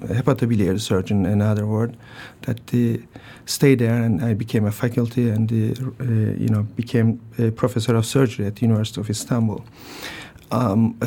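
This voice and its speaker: An older man with a Turkish accent, a transplant surgeon answering interview questions in a halting way, with many fillers, restarts and throat clearing. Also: mid-range voice, dark tone. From Turkey.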